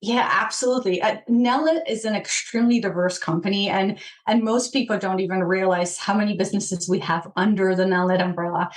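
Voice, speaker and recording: A woman's voice: medium pitch, a little fast, few pauses, distant mic. A woman from Poland with a Polish accent, talking as an interview guest in a thoughtful way with many fillers and restarts. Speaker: guest, program and change management director. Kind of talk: remote interview.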